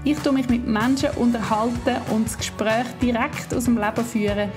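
A woman's voice: fairly high pitch, fairly even intonation, normal speed. A woman in her thirties with a Zurich Swiss German accent, hosting a podcast with a bubbly, upbeat manner. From Säuliamt, Canton of Zurich, Switzerland. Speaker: podcast host. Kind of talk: scripted podcast intro and casual chat. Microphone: video call. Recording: music playing behind the voice. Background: music.

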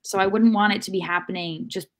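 An American woman co-hosts a casual sports discussion from home on a video call. Her voice is mid-pitched, and her delivery is wry, with carefully picked words. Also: fairly even intonation, quick pace. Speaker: co-host and team reporter. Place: room at home.

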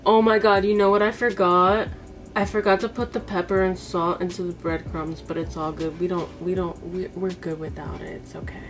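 A woman's voice: on the low side, little pitch movement, normal speed, distant mic. A woman in her twenties with an American accent, chatting informally and thinking aloud as she films herself cooking in a home kitchen. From United States, East Coast. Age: 20s. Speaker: cooking vlogger. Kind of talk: casual chat to camera while cooking. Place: small home kitchen, indoors. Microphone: camera a few feet away. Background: music.

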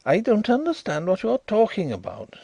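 A man voicing a proud, guarded young man of about twenty-five who flares into excited outbursts. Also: high-pitched, a little fast, gravelly, no echo, pitched above the performer's own voice, exaggerated voice. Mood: agitated.

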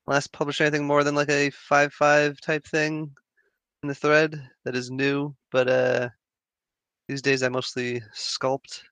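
A man's voice: mid-range voice, sharp tone, smooth, no room sound. A man chatting casually as a guest on a show about his creative hobbies. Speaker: guest sculptor and writer. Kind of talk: casual chat.

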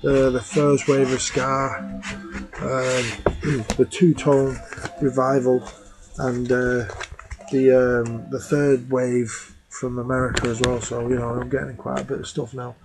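A man in his thirties with a slightly hoarse voice, chatting casually on a webcam at home and rambling with plenty of ums and uhs. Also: medium pitch, flat delivery, a little slow, low-quality recording. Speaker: vinyl collector vlogger. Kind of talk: casual chat. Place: small room at home. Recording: music playing in the room. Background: music playing.

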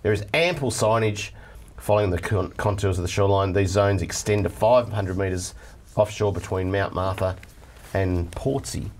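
A man's voice: low-pitched, normal speed, slight background noise. A middle-aged Australian man hosting a studio chat show, reading viewer letters aloud in a chatty, joking way. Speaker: host reading viewer mail. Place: TV studio set at a desk.

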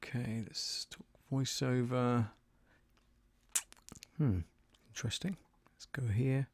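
A man's voice: medium pitch, fairly even intonation, takes it slowly, a little mellow, breathy edge, no room sound. A middle-aged man in casual live-stream commentary, muttering to himself as he works at a desk microphone. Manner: muttering to himself while working. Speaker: voiceover producer and streamer. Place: small indoor studio at a desk. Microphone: desk microphone close up.

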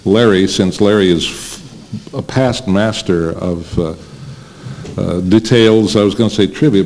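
An older American man hosting an informal live panel interview, respectful and somewhat halting. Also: low voice, a little mellow. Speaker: interviewer, host. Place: seated at a panel table in a plain meeting room.

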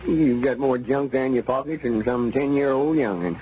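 A man's voice: medium pitch, animated, unhurried, mellow, lightly acted. A man playing an exasperated country storekeeper with a rural Arkansas accent in radio comedy, drawling and sarcastic. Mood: sarcastic scolding. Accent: Southern US, rural Arkansas. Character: exasperated, scolding rural male storekeeper Lum. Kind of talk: 1930s radio comedy acting. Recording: muffled, noisy 1930s radio recording.

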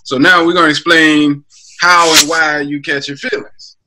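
A man's voice: on the high side, little pitch movement, normal speed, noisy background. A man, a music producer, coaching an artist casually over a video call from home, brainstorming aloud and asking probing questions. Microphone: webcam on a video call.

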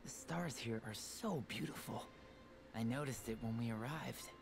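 An actor playing an earnest teenage boy hero in a video game, gentle, soft and sincere. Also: medium pitch, a little slow, vocal fry, noisy background. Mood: wistful.

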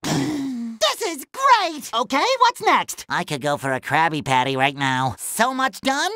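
A man voicing a naive, cheerful young sea sponge hero for a cartoon video game, with a high, squeaky, nasal voice and exuberant, melodramatic exclamations. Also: big pitch swings, unhurried, creaky, sharp tone, dry recording, studio quality, heavily acted. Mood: relieved.

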